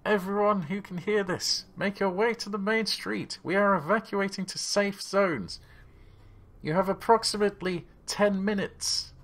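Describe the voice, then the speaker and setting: A man voicing a police officer who shouts urgent, commanding evacuation orders as if through a megaphone in a tabletop game. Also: sits high, a little slow, pitched above the performer's own voice, full character voice. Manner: loud, urgent, commanding announcements. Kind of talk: tabletop game role-play.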